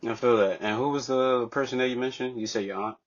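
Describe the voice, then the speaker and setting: A man's voice: mid-range voice, fairly even intonation, fast. A man hosting a podcast, opening with a hyped intro and then asking casual interview questions, repeating catchphrases. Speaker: podcast host. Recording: dull lo-fi mic.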